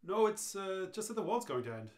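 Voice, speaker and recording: A performer playing an urgent, cryptic male alien friend in an amateur radio play, deadpan and insistent. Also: mid-range voice, a little fast, natural voice. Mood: deadpan, hurried. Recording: roomy, echoing live reading.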